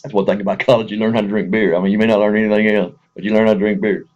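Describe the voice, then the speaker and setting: A man's voice: lowish voice, level tone, very fast, a little mellow, muffled recording. A man with a Texas accent speaking casually to viewers in a folksy, rambling way, chuckling between thoughts.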